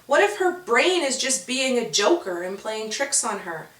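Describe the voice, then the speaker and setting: Female narrator for children's fiction. Highish voice, expressive, dramatic emphasis, recorded in an echoey room. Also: recorded from a distance. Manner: dramatic, drawn-out emphasis on key words. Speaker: author and narrator of a children's story. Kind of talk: expressive scripted children's storytelling.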